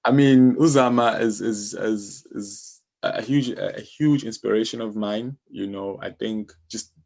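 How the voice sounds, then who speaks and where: Male podcast guest, low gravelly register, conversational and encouraging, explains things with plenty of "you know". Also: measured pace, a little mellow. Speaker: music publisher, podcast guest. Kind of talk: casual interview talk.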